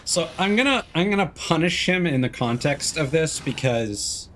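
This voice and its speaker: A man's voice: on the high side, animated, normal speed, a bit bright, slight background noise. A man giving casual live-stream game commentary, musing and thinking aloud as he plays.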